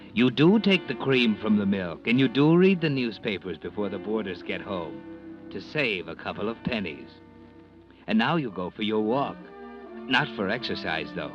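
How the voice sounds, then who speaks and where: Low, sinister American mystery narrator. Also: male voice, very animated, moderate pace. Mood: sly mocking. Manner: knowing, ironic second-person storytelling. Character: sinister, mocking male narrator addressing the characters. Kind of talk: 1940s-50s radio mystery narration. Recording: dull vintage radio recording. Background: music.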